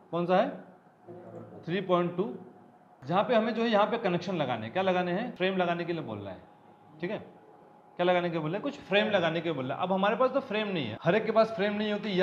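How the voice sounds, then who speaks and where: A man teaching a hands-on electronics repair lesson, explaining step by step and asking and answering his own questions. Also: high-pitched. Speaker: automotive electronics trainer. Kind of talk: hands-on technical tutorial. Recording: slightly dull recording, faint background noise.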